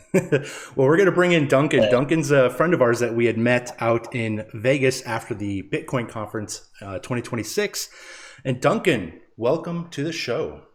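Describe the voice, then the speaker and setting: A man's voice: mid-range voice, moderate pace. A man co-hosting a podcast, friendly and laid-back, with many fillers. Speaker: podcast co-host. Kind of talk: casual podcast chat.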